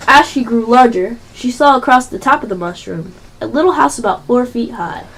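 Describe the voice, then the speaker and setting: A woman's voice: on the high side, normal speed, fairly bright tone, rough recording. A female performer narrating a storybook tale in an amateur radio play, reading from a script.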